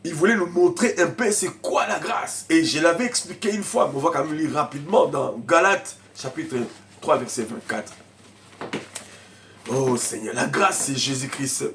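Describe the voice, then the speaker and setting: Male pastor, head cold, fervent sermon with loud shouted peaks and hushed mutters. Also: a little high, unhurried, slight background noise. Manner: swings from shouted preaching to quiet murmuring asides; speaks in tongues in bursts. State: has a cold, coughing.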